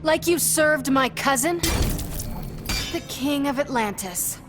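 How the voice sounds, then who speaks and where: Young game superheroine: high voice, sassy and challenging, loud music behind. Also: female voice, lively intonation, takes it slowly. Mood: sarcastic, defiant. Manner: sarcastic, challenging. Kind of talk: video game voice acting.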